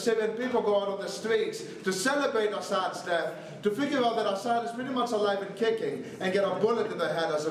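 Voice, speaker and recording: A young man from Greece giving a brisk, sarcastic competitive debate speech. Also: high-pitched. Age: young adult. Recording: echoey room, distant mic.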